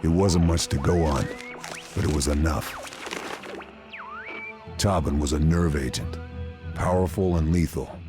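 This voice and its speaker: An actor playing a hard-boiled army sniper, narrating a wartime game cutscene in a terse, grave, deadpan way. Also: male voice, very deep voice, measured pace, pauses often, over music. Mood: grim, resolute. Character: hard-boiled male WWII army sniper, grim and determined. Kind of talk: scripted first-person game cutscene narration.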